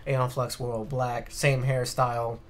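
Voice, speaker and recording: A man hosting a casual podcast, speaking bluntly with plenty of swearing and getting animated when exasperated. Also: mid-range voice, a little slow. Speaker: podcast host. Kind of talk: casual opinionated podcast chat.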